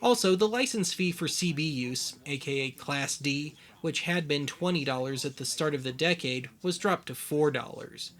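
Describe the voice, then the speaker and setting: A man narrating a scripted documentary as its host, with wry, deadpan humour. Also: a little high, normal speed, few pauses.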